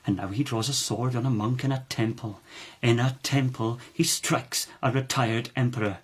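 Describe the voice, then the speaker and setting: Man playing palace power-broker, radio drama, husky, mid-pitched, oratorical, formal, calculating. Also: steady tone, moderate pace, close to an everyday voice. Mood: disdainful. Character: calculating, authoritative palace lord, smooth political schemer.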